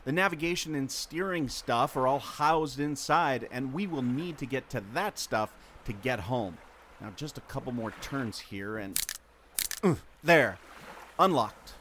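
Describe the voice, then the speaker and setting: A man's voice: medium pitch, lively intonation, a little slow, fairly bright tone. A man playing a resourceful, know-it-all former mariner who explains things emphatically in a radio adventure. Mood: purposeful. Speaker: podcast host acting in audio drama. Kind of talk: amateur radio adventure acting. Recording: noticeable ambient background noise.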